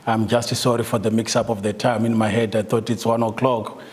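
A man's voice: fairly low pitch, flat delivery, brisk, darkish tone, husky, big-room sound. A middle-aged man from Zimbabwe with a Zimbabwean accent, giving a talk to staff with many ums and uhs and some light laughter. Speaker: guest speaker, solicitor. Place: meeting room, indoors.

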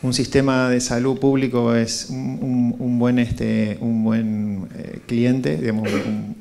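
A middle-aged man with an Argentine accent, pitching a project and answering questions in a halting, searching way into a handheld mic. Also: little pitch movement, gravelly, warm tone. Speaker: startup founder presenting. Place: indoor conference room with small seated audience. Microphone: handheld microphone.

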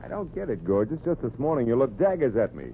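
An actor playing a smarmy, eager skirt-chaser with slangy sweet talk in a 1940s radio comedy. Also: male voice, mid-range voice, sing-song, quick pace. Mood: eager, oily. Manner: slangy, wheedling pet-name sweet talk. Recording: muffled, noisy 1940s radio broadcast recording.

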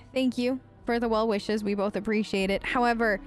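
High-voiced woman role-playing casually. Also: steady tone, normal speed, faint music behind. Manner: casual, hesitant, polite. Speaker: gaming video host. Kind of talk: improvised in-character role-play for a game let's play.

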